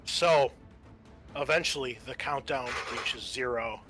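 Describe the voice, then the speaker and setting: A man with a bright, forceful voice works as game master, narrating a tabletop roleplaying session. He talks casually and swears freely. Music plays behind him. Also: medium pitch, slow.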